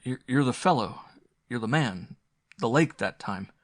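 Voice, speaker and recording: A man's voice: fairly low pitch, moderate pace, gravelly, a bit bright, no room sound. A man reading aloud and voicing a tired, kindly middle-aged man who grows frightened. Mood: uneasy.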